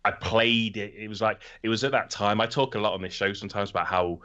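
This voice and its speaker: A man's voice: on the low side, fairly even intonation, fast talker, pushed and bright, highs cut off. A middle-aged man chatting casually as a podcast host, rambling through anecdotes and swearing freely.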